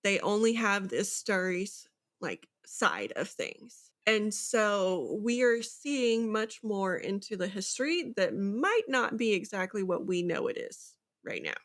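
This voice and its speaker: A woman's voice: mid-range voice, very animated, measured pace. A woman co-hosting a casual book discussion, thinking aloud and often checking that the listener follows. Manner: speculative, thinking aloud with rhetorical check-ins. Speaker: podcast co-host.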